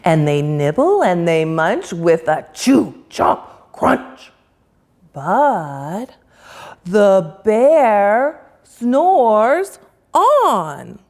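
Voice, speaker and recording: A woman reading a rhyming children's picture book aloud in a sing-song, drawn-out way. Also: mid-range voice, sing-song, darkish tone. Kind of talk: expressive read-aloud storytelling.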